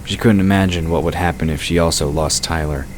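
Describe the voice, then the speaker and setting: Male narrator for scripted fantasy audio drama, deep register, slight background noise. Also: steady tone, brisk.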